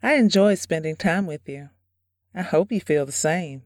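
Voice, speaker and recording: A woman playing a gentle young sweetheart, dry sound. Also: on the low side, animated, normal speed, no room sound, close to an everyday voice. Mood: tender. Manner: gentle, polite. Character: sweet, appreciative young woman being courted. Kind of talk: audio drama dialogue.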